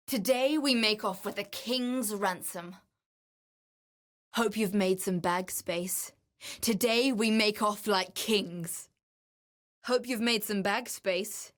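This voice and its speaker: A woman playing a keen, wry explorer and ritualist in a video game, speaking in a measured, confiding, dryly playful way. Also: mid-range voice, lightly acted. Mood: eager. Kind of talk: scripted video game voice acting.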